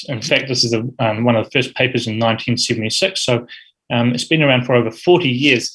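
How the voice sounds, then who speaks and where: A man's voice: medium pitch, fairly bright tone, slightly rough, poor audio. A man in his thirties with a New Zealand accent, giving an academic medical presentation with frequent ums and uhs. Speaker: urology fellow giving grand rounds talk.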